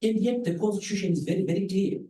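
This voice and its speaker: A man with a Zimbabwean accent giving a political talk as a panel speaker, deliberate and halting, repeating words for emphasis. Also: high-pitched, mellow. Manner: deliberate, hesitant phrasing with emphatic repetition. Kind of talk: political talk at a public dialogue. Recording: roomy live event recording, slightly distant mic.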